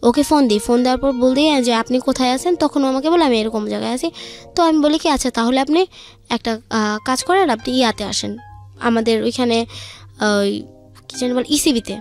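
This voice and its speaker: Young female guest, high voice, Bangladeshi accent, informal personal storytelling over faint music. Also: a little fast. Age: young adult. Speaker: guest telling her life story.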